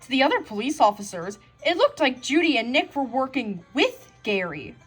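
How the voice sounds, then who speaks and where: High-voiced woman reading a children's story. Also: sing-song, normal speed, slightly rough, faint music behind. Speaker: storybook reader. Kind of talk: children's read-aloud narration.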